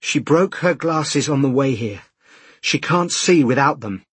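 Man acting a harried, exasperated man, emphatic and clear. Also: mid-range voice, normal speed, no room sound, lightly acted. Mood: urgent pleading. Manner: emphatic, insistent. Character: harried, exasperated man pleading and ranting urgently. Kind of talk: audiobook character dialogue.

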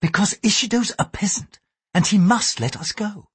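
A man's voice: high-pitched, normal speed, a bit bright, slightly rough, no echo, pitched above the performer's own voice, exaggerated voice. A man reading aloud, voicing a poised young Japanese noblewoman with a formal, archaic way of speaking. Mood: heated. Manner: formal, archaic thee-and-thou speech, apologetic "so sorry".

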